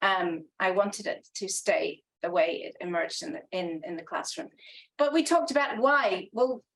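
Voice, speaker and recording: A woman's voice: on the low side, measured pace, poor audio. A woman researcher giving a conference talk, earnest and reflective, with frequent restarts and filler sounds. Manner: hesitant, many restarts and ums. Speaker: academic researcher presenting.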